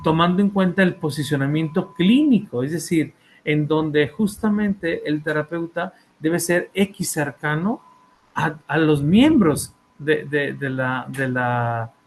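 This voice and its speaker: A Mexican man speaks slowly and deliberately in a higher register, giving an academic talk about his book. He stumbles over fillers and repeats words, often tossing in a quick check for agreement. Faint background noise sits under his voice. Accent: Mexican Spanish. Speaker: author and therapist presenting a book.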